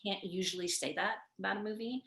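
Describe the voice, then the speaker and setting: A middle-aged woman, a film producer, chatting quickly with laughing asides on a video call from her living room. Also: mid-range voice, fairly even intonation, gravelly, a bit bright, reverberant. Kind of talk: casual conversation. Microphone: video call, far camera.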